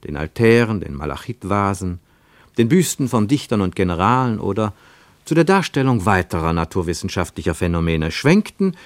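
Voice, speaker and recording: A man with a fairly low voice narrates a literary text for radio. His delivery is measured and expressive, placing emphasis deliberately, and the studio sound is dry and close. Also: no echo.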